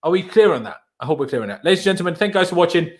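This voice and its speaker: A young man, German by mother tongue, hosts a football live stream and reads out viewer questions. He speaks English with a German accent in a slightly high, chatty and animated voice. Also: rapid-fire. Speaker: football channel host.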